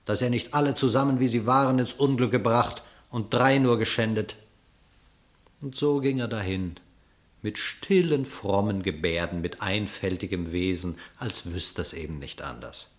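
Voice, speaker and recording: A male narrator telling a verse tale for a radio play in a measured, expressive, dramatic storytelling style. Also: on the low side.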